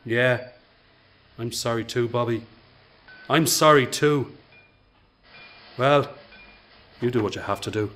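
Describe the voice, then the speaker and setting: A man's voice: medium pitch, takes it slowly, long pauses, fairly bright tone, slight background noise. A man acting in a radio drama as a frustrated, principled worker with an insistent, pleading way of talking. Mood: bitterly disappointed. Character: frustrated, principled male worker urging his friend. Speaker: actor in a radio play.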